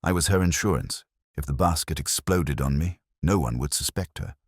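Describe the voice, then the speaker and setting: A man voicing an ashamed, frightened village woman, soft-spoken and anxious, in an audio mystery story. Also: a bass voice, normal speed, husky, no echo, clean studio sound, exaggerated voice. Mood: uneasy.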